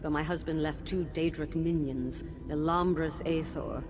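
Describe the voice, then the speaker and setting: An actress playing a formal, measured elf woman, a tormented mage teacher's soul in a fantasy game. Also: deep voice, steady tone. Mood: grave, weary. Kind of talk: scripted fantasy game dialogue. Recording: background music under the voice.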